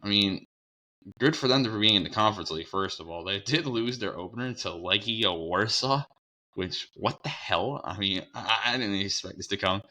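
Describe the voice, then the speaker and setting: Young guy w a cold, kinda hoarse, deep voice but bright edge, rambles thru his sports picks. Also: moderate pace. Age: young adult. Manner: rambling, self-correcting, upbeat. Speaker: sports show host. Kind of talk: casual sports preview talk.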